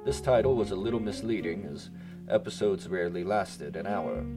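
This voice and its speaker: A man with a low voice reads as a podcast announcer. His delivery is formal, measured and clearly scripted. Music plays behind him. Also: expressive. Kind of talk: scripted narration, polished radio-host announcements.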